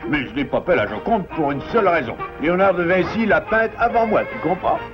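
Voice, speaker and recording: A man voicing a caring, firm but loving father beaver in a French cartoon, expressive and gently lecturing. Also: high voice, fairly quick. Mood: tender, reproachful. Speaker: voice actor. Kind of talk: French cartoon dub acting. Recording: dull lo-fi cartoon soundtrack, music behind.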